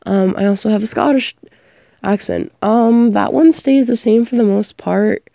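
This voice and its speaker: A young woman audio performer chats in a loose, rambling way, drifting off her train of thought. She is sick, and her voice is mellow with a gravelly fry. The sound is close and completely dry. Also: mid-range voice, fairly even intonation, normal speed, no echo. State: sick, coughing. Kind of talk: casual rambling chat.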